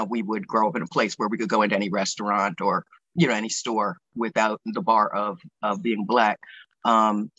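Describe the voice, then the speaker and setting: Older American male interviewee, sixties, clear-edged bright tone, dry close sound, thoughtful oral-history recollection with halting phrasing. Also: fairly low pitch, no room sound, dull audio. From Pennsylvania, United States. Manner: reflective, hesitant, pausing to choose words.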